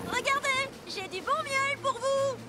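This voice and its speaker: A woman's voice: very high-pitched, unhurried, recorded from a distance, loud music behind the voice. A woman voicing a caring, resourceful female rescue vehicle in a French children's cartoon, earnest and encouraging. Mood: eager, resourceful.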